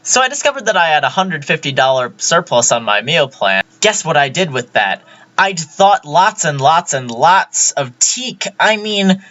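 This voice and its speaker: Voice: mid-range voice, bright, forceful tone, lo-fi sound. A young adult vlogger reading a garbled transcript aloud to camera.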